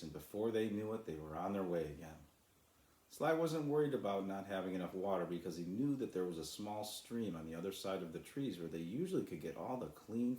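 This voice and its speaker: A man's voice: deep voice, dark tone, reverberant. A man who is an author, reading and introducing his own children's story as a storyteller. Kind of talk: storybook narration.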